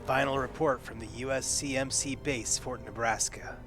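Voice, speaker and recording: A man's voice: medium pitch, steady tone, moderate pace, music in the background, natural voice. A man reading in character as a grim male marine sergeant giving a terse final report in a tabletop game.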